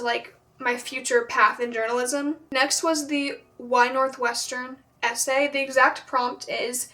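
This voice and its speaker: A woman's voice: high voice, pushed and bright, recorded from a distance. A young woman in her late teens who speaks with a stutter, talking casually to camera with frequent filler words. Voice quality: has a stutter. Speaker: vlogger.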